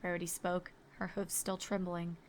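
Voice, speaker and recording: A woman's voice: lowish voice, flat delivery, moderate pace. A woman reading scripted third-person narration for a fan fiction story. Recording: background music under the voice.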